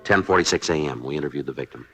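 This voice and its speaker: An actor playing a terse, deadpan American police detective sergeant in a 1950s radio drama, matter-of-fact in dialogue and narration. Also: male voice, very deep voice, rapid-fire, gravelly, faint music behind, exaggerated voice. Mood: clipped, factual.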